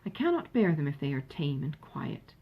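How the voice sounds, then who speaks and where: A woman's voice: deep voice, big pitch swings, normal speed, slightly rough, lo-fi sound, pitched below the performer's own voice, full character voice. A woman reading as an ingratiating, sweet-talking young lady in a storybook, coaxing and emphatic. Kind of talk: acted dialogue in a storybook reading.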